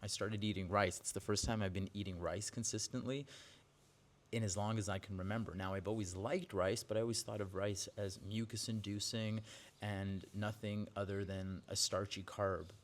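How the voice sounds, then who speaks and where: Chatty man in his forties, low voice. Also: fairly even intonation, normal speed. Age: 40s. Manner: chatty, candid, with frequent likes and restarts. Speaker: yoga teacher. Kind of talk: casual vlog monologue to camera. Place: outdoors with birds nearby.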